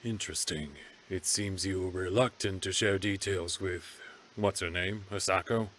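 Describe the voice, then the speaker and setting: Low, calm interrogator. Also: male voice, a little hiss or hum. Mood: probing. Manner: measured, insinuating. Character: calm, probing male interrogator with dry needling manner. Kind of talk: visual novel voice acting.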